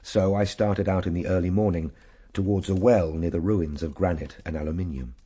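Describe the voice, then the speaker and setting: A grave victorian traveller telling his story, very deep n dark, measured and formal. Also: steady tone, breathy edge. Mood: grave, brooding. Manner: measured, formal literary delivery. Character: grave Victorian male time traveller recounting his ordeal. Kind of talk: scripted dramatic narration.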